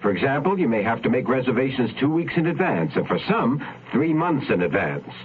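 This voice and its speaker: Animated male announcer on tinny radio. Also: mid-range voice. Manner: measured, instructive. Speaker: consumer announcer. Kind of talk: scripted public service announcement. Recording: tinny, band-limited radio recording, faint noise.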